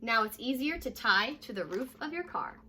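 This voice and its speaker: A young American woman reading a children's story aloud like a teacher, addressing young listeners directly in a lively way. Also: on the high side, moderate pace, a little hiss or hum. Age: young adult. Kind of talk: children's read-aloud with short asides. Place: living room at home, indoors.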